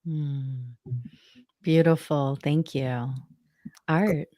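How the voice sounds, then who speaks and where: A woman hosts a panel over a video call, drawing her words out slowly. The sound is dry and close, with no room around her voice. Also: sits low, fairly even intonation, dark tone, no room sound. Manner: slow, drawn-out speech with frequent laughs. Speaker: summit host.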